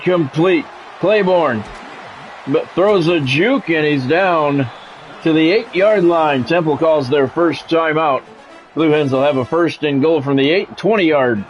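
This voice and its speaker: A man with a voice a little higher than average delivers sports play-by-play for a video game football match. He talks conversationally, dropping dry, wry asides between calls. Faint music plays behind him. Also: highs cut off. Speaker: play-by-play announcer.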